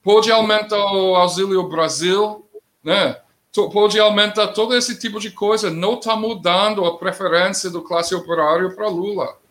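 A middle-aged man speaking Portuguese with a non-native accent, commenting as a guest in a political discussion, plain-spoken with halting grammar. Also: sits high, steady tone, a bit bright. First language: not Portuguese.

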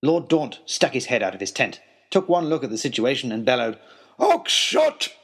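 A man narrating a scripted first-person story in an expressive, wry, rather formal storytelling manner. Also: medium pitch, fairly quick, husky.

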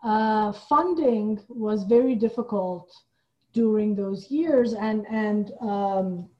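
A woman from Iran speaking as a guest in a reflective interview, thoughtful and halting, often checking in with the listener. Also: medium pitch, dull audio. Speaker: podcast guest, playwright and theater director.

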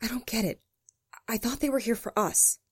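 A woman playing a brisk, commanding federal agent in an audio drama. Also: a little high, husky, no echo, studio quality. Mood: uneasy. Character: firm, take-charge female federal agent.

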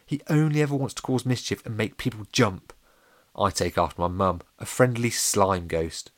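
A man's voice: on the low side, moderate pace, no echo, close to an everyday voice. Man putting on a friendly young boy ghost. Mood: apologetic, friendly. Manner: apologetic, gently reproachful. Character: friendly, embarrassed eleven-year-old boy ghost.

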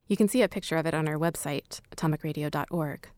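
A woman's voice: low-pitched, fast talker, darkish tone, a little hiss or hum. A woman hosting a radio documentary, reading scripted narration. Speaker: host.

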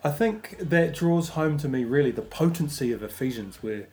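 A man's voice: on the high side, expressive, moderate pace, dark tone. Rambling New man hosting a podcast. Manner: rambling, thinking aloud with many fillers. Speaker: podcast host. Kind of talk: casual faith discussion.

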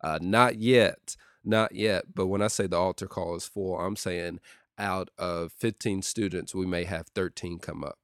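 A youth pastor with a deep voice, talking in a casual solo podcast with lots of ums and uhs. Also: male voice, measured pace, clear, resonant tone, dry recording, studio quality. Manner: steady and conversational with many ums and uhs.

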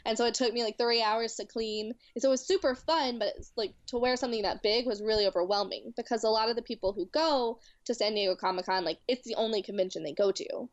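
A chatty woman in her twenties from Louisiana talks casually about her hobby, her voice sitting a little high. The recording is dry and a touch muffled. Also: fast talker, few pauses, no room sound. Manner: chatty, heavy with "like" fillers. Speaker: guest cosplayer. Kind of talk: casual chat.

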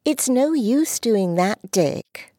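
A woman voicing an earnest, jealous little girl in an audiobook, high and eager. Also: expressive, slow, dark tone, no room sound, studio quality. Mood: determined. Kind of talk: audiobook character voice.

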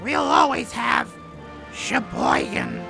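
Guy doing moody old-movie club boss parody, gravelly, over the top, music behind. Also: extremely high pitch, huge pitch swings, slow, heavily acted. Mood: melodramatic farewell. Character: brooding, melodramatic male nightclub owner in romance parody. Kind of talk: spoof of 1940s film acting.

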